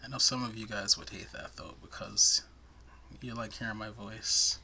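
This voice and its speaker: Man, soothing crochet tutor, soft-spoken, crisp bright tone, faint hiss behind. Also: on the low side, little pitch movement, moderate pace. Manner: soft-spoken, chatty, self-deprecating asides. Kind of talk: step-by-step crochet instruction.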